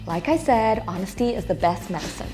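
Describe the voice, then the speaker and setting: A woman's voice: mid-range voice, lively intonation, a little fast, music in the background, close to an everyday voice, low-quality recording. A woman playing a blunt, sardonic young studio engineer in a sitcom, with a dismissive conversational delivery. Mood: scornful.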